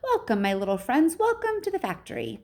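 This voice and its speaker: A woman voicing an effusive, eccentric male factory owner from a children's storybook, with a high, fluty voice and gushing, quick-fire politeness. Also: big pitch swings, a little mellow. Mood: gleeful welcome.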